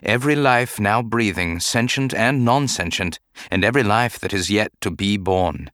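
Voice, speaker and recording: A man voicing a composed, guarded Jedi master in a dramatic audiobook, speaking in a measured, formal manner. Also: on the low side, fairly bright tone, no room sound, clean studio sound, lightly acted. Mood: grave, composed. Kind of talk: dramatic audiobook character acting.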